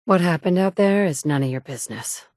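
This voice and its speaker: Casting: actress as a tough, wry survivor mom, slightly low voice, close dry booth sound. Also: female voice, animated, a little fast, a little mellow, dry recording, natural voice. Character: tough wasteland survivor mother, blunt and wry. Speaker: player character voice. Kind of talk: game voice lines.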